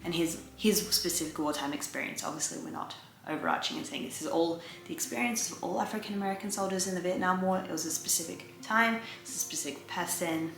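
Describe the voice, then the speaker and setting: A woman's voice: sits low, creaky. A woman, chatting casually to viewers as a vlogger giving an update. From Australia. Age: 20s. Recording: roomy echo, faint music behind.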